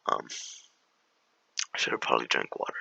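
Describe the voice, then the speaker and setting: A young man with a raspy voice, walking through calculus problems in a slangy, laid-back way and sometimes mumbling steps under his breath. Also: brisk, pushed and bright, dry recording, muffled recording. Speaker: math tutor. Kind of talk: casual tutoring walkthrough.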